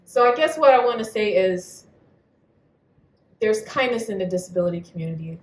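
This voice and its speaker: A woman's voice: mid-range voice, lively intonation, normal speed. A woman on a live panel telling personal stories, candid and blunt, swearing freely. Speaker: panelist poet. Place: venue hall at a live reading. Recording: echoey hall, mic at a distance.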